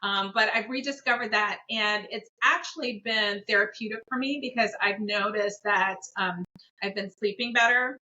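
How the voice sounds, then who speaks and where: Woman, middle-aged, first language Korean, bright voice, reflective, lots of ums, dull lo-fi recording. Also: medium pitch, normal speed, a little hiss or hum. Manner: warm, reflective, many fillers. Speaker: guest, business owner. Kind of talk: casual interview conversation. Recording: dull lo-fi audio.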